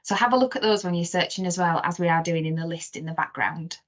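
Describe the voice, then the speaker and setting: A woman's voice: on the low side, fast talker. Woman co-presenting, slightly dull call audio. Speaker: webinar co-presenter. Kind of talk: informal tips presentation.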